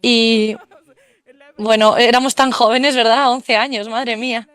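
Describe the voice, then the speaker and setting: A woman relays a speaker's conference talk live in an even, fluent stream. Her voice sits a little high and sounds close and dry, with no room around it. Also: unhurried, smooth, dry recording. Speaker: simultaneous interpreter.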